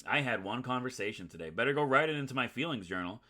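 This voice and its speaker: A man's voice: mid-range voice, expressive, fast, pushed and bright, pitched below the performer's own voice. A man reading the inner monologue of an anxious, self-loathing schoolboy in a visual novel, gloomy and wordy. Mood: resigned. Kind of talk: visual novel read aloud.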